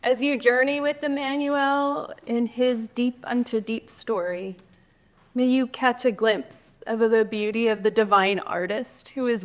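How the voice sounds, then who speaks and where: Lady hosting a showcase, gracious intros, a bit high pitched. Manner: gracious, warm-worded, scripted introductions. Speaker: event host.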